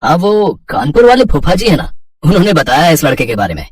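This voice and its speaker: A man's voice: on the high side, very animated, a little fast, breathy edge, dry recording, recorded from a distance. A man voicing a teasing, doting middle-aged father in a Hindi cartoon story. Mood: upbeat. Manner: playful, teasing.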